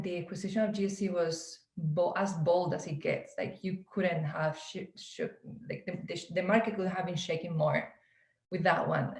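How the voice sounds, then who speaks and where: A woman's voice: on the low side, gravelly, darkish tone, reverberant, low-quality recording. A woman interviewing a guest in a friendly, affirming way, with frequent hesitant restarts. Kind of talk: conversational interview.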